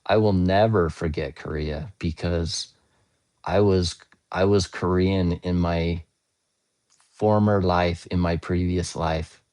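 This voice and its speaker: A man with a hoarse, scratchy voice, hosting a casual live-stream English lesson and patiently answering viewer comments, often spelling words out. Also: deep voice, fairly even intonation, slow, a little mellow. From United States.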